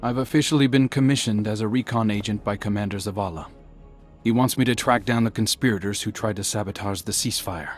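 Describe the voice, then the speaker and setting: A man plays a humble, haunted young male scout in a video game. The voice is low and reflective, confiding with hesitant candor. Faint music runs underneath. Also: quick pace, natural voice. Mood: reflective, cautiously hopeful. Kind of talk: dramatic game cutscene monologue.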